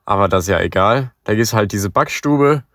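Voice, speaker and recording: Casual teen lad, deeper voice, blocked nose, jokey slang. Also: male voice, lively intonation, normal speed, a bit bright, no room sound, clean studio sound. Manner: slangy, joking. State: stuffy nose from a cold. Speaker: podcast co-host. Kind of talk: casual chat.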